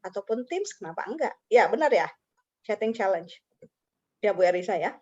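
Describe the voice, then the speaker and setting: A woman presents a teacher-training webinar over a video call, talking in a chatty, friendly way and often checking in with participants by name. Her voice sits at a middle pitch. The audio is dull and lo-fi. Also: animated, moderate pace, a little mellow, husky.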